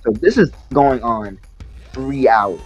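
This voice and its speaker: A young man telling a casual story to friends in an animated, slangy, profane way, acting out the dialogue. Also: medium pitch, takes it slowly, dull audio. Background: video game music.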